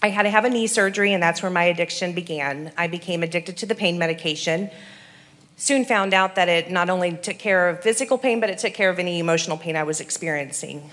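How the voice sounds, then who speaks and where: A woman in her forties from Iowa tells her story to a church audience. She speaks earnestly and steadily and often pauses with an um. Her voice sits slightly low for a woman. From Iowa, USA. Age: 40s. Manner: earnest, steady, with frequent ums. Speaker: rehab program resident giving testimony. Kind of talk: church testimony.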